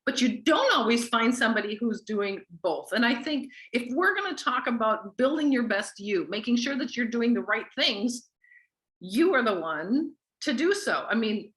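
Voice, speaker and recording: A woman with a fairly high, bright voice hosts an informal group call. Her delivery is conversational and full of gushing enthusiasm. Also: very animated, moderate pace, poor audio. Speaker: host of a weekly company group call. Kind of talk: casual hosting, informal introduction.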